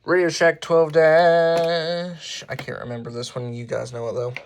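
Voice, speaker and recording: A man's voice: a little high, flat delivery, slight background noise. Offhand man showing off his collection. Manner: halting, offhand, self-correcting. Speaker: hobbyist presenter showing a radio collection. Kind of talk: casual unscripted show-and-tell.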